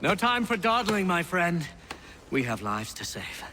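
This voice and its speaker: An excitable game patriot of colonial times. His voice is high and animated, formal yet urgent, in a reverberant space with noise behind it. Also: unhurried. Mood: commanding. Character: fervent, excitable colonial patriot rallying allies. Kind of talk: video game character acting.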